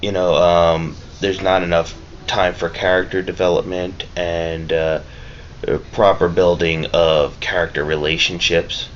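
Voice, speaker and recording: Man, low deep voice, laid-back vlogger, halting casual review, muffled lo-fi sound, noisy background. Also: flat delivery. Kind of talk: casual, rambling vlog review. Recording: dull lo-fi mic with clear background noise.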